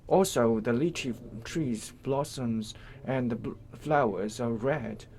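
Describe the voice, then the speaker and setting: A man of medium pitch gives a live history commentary. He talks in short, simple phrases, pausing and correcting himself as he goes. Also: darkish tone, slight background noise. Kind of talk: halting live spoken explanation of local history.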